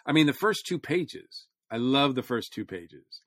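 A man's voice: medium pitch, big pitch swings, measured pace, a bit bright, no echo, clean studio sound. A man co-hosting a podcast, chatting casually like an enthusiastic fan. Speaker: podcast co-host reviewing comics. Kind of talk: casual chat.

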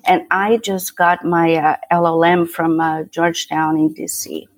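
Brazilian lady, portuguese accent, lowish voice, easygoing, dry close mic. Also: level tone, a little slow, dry recording. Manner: easygoing, laughs lightly, repeats words as she thinks. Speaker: guest, tax attorney and CPA. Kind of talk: casual interview talk.

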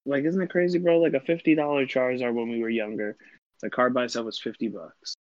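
A man's voice: medium pitch, steady tone, fast, muffled recording. A man co-hosting a podcast in casual, profane banter, using a lot of bro-style slang. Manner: slangy, says "bro" often. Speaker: podcast co-host.

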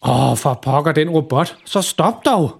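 A man voicing a flustered middle-aged father who mutters and grumbles in exasperation. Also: on the high side. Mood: exasperated.

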